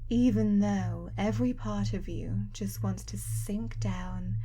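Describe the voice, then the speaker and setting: Young woman, ASMR hypnosis, extremely slow pace, soft mellow tone, half-whispered, teasing and commanding, faint background noise. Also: mid-range voice. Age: young adult. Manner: hushed, breathy, partly whispered; teasing, coaxing, controlling. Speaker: ASMR performer.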